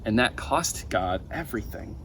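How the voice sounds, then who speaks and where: A man's voice: fairly low pitch, lively intonation, normal speed, fairly bright tone. A young man voicing an earnest, emphatic male preacher from a children's storybook. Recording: outdoor ambient noise.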